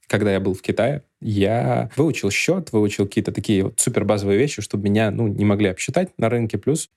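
A man co-hosting a podcast, telling a relaxed anecdote in casual chat. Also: lowish voice. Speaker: podcast co-host.

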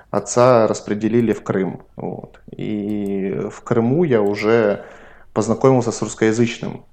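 A man's voice: fairly low pitch, a little mellow. A man from Ukraine co-hosting a podcast, explaining things in a reflective, storytelling way during casual chat. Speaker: podcast co-host.

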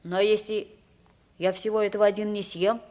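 A woman's voice: medium pitch, normal speed, close to an everyday voice. An actress playing a naive, plain-spoken poor boy taken for a king, in an old radio drama. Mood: puzzled, naive. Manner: boyish, naive, expressive. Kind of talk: Soviet 1950s radio play acting.

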